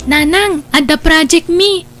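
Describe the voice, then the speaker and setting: A woman's voice: very high-pitched, a little slow, fairly bright tone, faint music behind. A young woman voicing a friendly cousin who chats in an upbeat way in a family radio drama. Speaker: voice actor.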